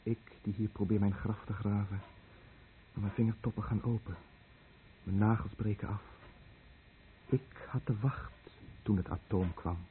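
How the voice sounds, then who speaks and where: A man's voice: on the low side, steady tone, normal speed, long pauses, lightly acted. Man playing a solemn, anguished fisherman, tinny recording. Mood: bleak. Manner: solemn, prayerful, insistent. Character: Suzuki, pious fisherman on watch, anguished and dread-filled. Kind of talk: radio play acting. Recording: tinny, noisy old radio drama recording.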